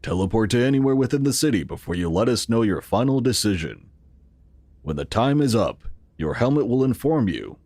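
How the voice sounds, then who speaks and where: An actor playing the earnest, calm founder of a futuristic city in a sci-fi audio drama, explaining things in a measured, formal way. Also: male voice, mid-range voice, no echo. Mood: instructive.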